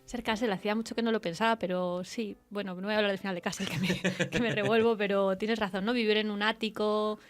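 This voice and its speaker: Female radio host, Spain accent, conversational interviewing style, slightly low voice, faint music underneath. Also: fairly even intonation, fast talker, few pauses.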